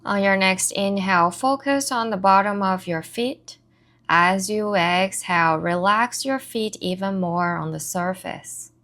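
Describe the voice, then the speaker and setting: A woman leading a guided body scan meditation as an instructor, giving soothing, measured instructions in short phrases with pauses between them. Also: mid-range voice, a bit bright. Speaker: meditation and somatic movement instructor.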